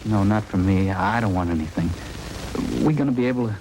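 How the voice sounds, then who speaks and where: An actor playing an anxious, folksy young husband with a hesitant way of talking in a 1950s radio drama. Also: male voice, fairly low pitch, fairly quick, darkish tone, close to an everyday voice. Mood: anxious, hopeful. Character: anxious young husband awaiting his wife's childbirth. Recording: noisy old-time radio recording.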